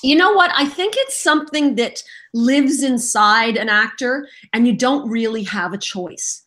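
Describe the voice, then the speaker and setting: A middle-aged woman chats as an interview guest, telling stories about her acting beginnings in a lively, self-mocking way. Her voice sits a bit high for a woman, and she's just getting over a cold. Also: unhurried. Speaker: voice actress guest. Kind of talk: casual interview chat.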